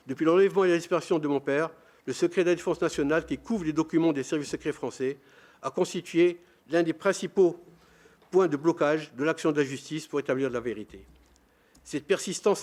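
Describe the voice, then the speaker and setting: An older man speaks as a panelist, reading out a prepared statement. His voice sits a little high for a man, and his delivery is measured and grave. Now and then he trips over a word and repeats it. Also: a little mellow. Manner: measured, grave, with occasional stumbles on words. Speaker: round-table panelist.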